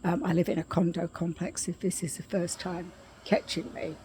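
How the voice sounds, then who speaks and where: A middle-aged woman with a scratchy voice giving a casual spoken update outdoors, softly, with frequent throat clearing and ums. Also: lowish voice, steady tone, normal speed. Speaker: vlogger. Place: outdoors at her condo, quiet yard with birds.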